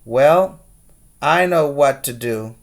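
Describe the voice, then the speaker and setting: A man's voice: mid-range voice, lively intonation, slow pace. A man voicing a stern, exasperated father from a children's storybook, firm and emphatic. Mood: determined.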